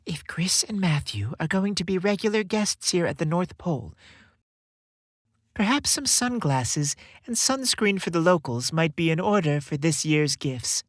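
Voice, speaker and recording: Man as kindly older woman for a storybook, playful, teasing, pitched up. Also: very animated, measured pace, no echo, studio quality. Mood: conspiratorial. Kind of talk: storybook character voice.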